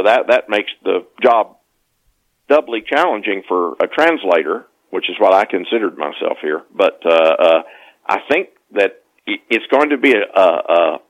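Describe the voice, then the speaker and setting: A man speaking as an author in a casual interview, halting and often restarting his words. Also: lowish voice, highs cut off. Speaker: author, podcast guest.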